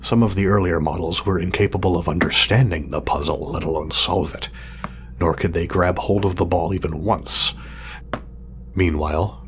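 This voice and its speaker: An actor playing a meticulous male scientist in an audio drama, speaking formally and wordily, halting when flustered. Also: low voice, slight background noise, lightly acted. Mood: proud, clinical. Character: meticulous, fussy male scientist testing his drone creation.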